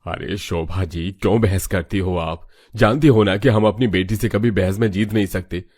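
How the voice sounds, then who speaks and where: A man playing an easygoing, hungry middle-aged father in a cartoon, with a husky voice. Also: low-pitched, moderate pace, a little mellow. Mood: placating.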